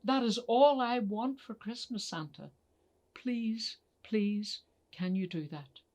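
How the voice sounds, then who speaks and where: A woman's voice: a little high, slow pace, darkish tone, pitched above the performer's own voice. Woman reading as an earnest little boy. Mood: earnest, pleading. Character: polite, earnest little boy writing to Santa. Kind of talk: children's storytelling.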